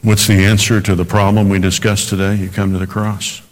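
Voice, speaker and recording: A man preaching a radio sermon, earnest, slowing down when he quotes scripture. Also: fairly low pitch, level tone, long reverb tail. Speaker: pastor.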